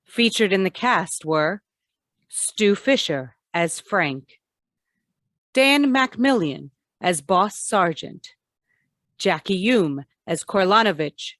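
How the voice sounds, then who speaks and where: Female announcer for vintage-style radio drama, measured slow read, dry sound. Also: mid-range voice, expressive, a bit bright, no echo. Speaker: host and announcer. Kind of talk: scripted old-time radio closing announcement.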